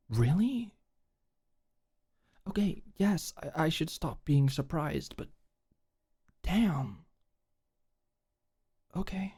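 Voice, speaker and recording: A young woman playing a tough but awkward, secretly tender delinquent girl in an intimate ASMR roleplay, speaking softly and hesitantly. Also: deep voice, creaky, slightly rough, heavily acted. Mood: relieved, awkward.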